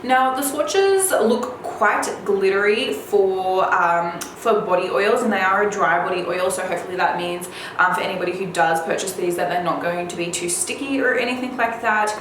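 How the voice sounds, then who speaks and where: A woman beauty vlogger chatting casually and informally to viewers, her voice slightly raspy from a cold. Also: mid-range voice, normal speed, hardly any pauses, fairly bright tone. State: slightly under the weather with a cold. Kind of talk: casual chat to viewers about product releases. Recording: very echoey room, faint background noise.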